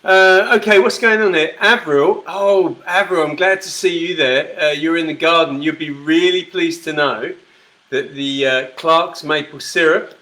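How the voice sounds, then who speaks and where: A chatty middle-aged man hosts a live whisky broadcast in a relaxed, friendly way, reading out viewer comments as he goes. His voice is bright and forceful and sits a little high for a man. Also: a little slow. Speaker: host of a live whisky show. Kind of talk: casual live-stream chat.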